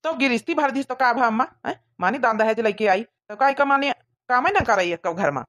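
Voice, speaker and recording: A woman's voice: a little high, brisk, fairly bright tone, natural voice. A woman voicing a nagging but fair-minded young village husband in an Awadhi cartoon comedy, with a rustic, scolding manner. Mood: annoyed.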